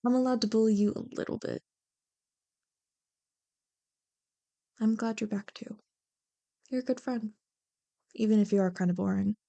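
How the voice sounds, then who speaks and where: A woman's voice: medium pitch, a little fast, vocal fry, mellow, dry recording, lightly acted. A woman acting a playful, teasing young childhood friend in a casual, joking ASMR roleplay. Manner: bubbly, joking, playfully bantering. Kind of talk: ASMR roleplay, one-sided casual conversation.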